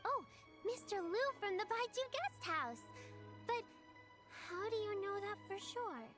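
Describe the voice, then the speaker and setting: A woman's voice: very high-pitched, animated, measured pace, over music. A woman voicing a sweet, polite, earnest little girl with a childlike voice in a video game. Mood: curious. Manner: earnest, explaining.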